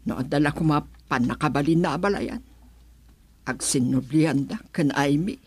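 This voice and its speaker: A woman playing a worried, determined mother and grandmother in a radio drama, husky-voiced, with an Ilocano Filipino accent. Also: low voice, moderate pace, no room sound. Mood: earnest, resolute. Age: middle-aged.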